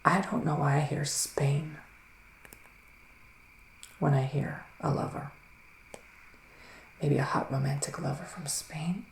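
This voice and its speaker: A man playing a gentle psychic witch in an ASMR roleplay, speaking in a soft, musing whisper with long pauses. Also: on the high side, vocal fry, echoey room, low-quality recording. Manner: slow, hushed, musing, with drawn-out pauses. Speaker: ASMR performer giving a psychic reading.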